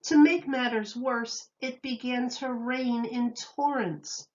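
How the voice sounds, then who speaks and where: Female storybook narrator, teacher style: very slow, emphatic, expressive delivery, mid pitch, slightly dull recording. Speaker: teacher reading aloud.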